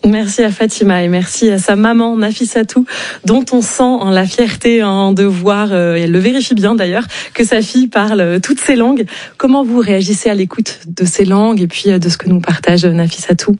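Female radio presenter, measured and clear, dry booth sound. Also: mid-range voice, darkish tone, no room sound. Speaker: radio show host. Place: radio studio.

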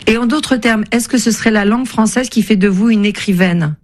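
A woman radio host who asks her author guest measured, articulate questions. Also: fairly high pitch.

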